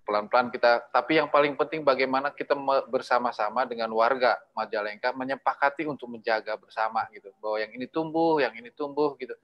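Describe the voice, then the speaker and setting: Male host, mid-range voice, conversational and halting, slightly dull recording. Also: medium pitch, no room sound. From Indonesia. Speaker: host, moderator. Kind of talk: casual discussion moderating.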